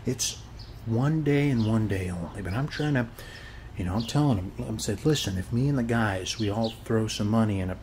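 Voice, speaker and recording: A man's voice: on the low side, moderate pace, a little mellow, slight background noise, natural voice. A man playing a friendly, chatty suburban neighbor in a casual ASMR roleplay, rambling reassuringly in a folksy way and tagging phrases with short check-in questions. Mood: friendly, encouraging. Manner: folksy, reassuring, repeats "okay?" as a tag. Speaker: ASMR performer.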